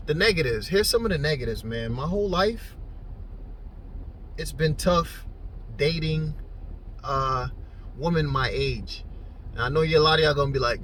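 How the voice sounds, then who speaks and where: Casual, slangy man with a higher-pitched voice. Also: fairly bright tone, slight background noise, low-quality recording. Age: early 40s. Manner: slangy, conversational, joking. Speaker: video blogger. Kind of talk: casual chat to camera.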